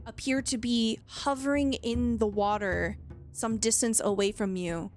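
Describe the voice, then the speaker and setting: Woman gm with a higher voice, describing the scene, soft music under it. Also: steady tone. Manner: descriptive, measured narration with light laughter. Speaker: game master. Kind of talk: narrating a tabletop game.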